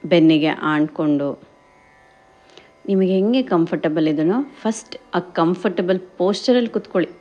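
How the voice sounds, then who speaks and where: A woman guides a meditation in gentle, encouraging instructions, addressing listeners as friends and mixing in English words. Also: fairly low pitch, moderate pace, highs cut off, soft background music. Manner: mixes English words and phrases into speech.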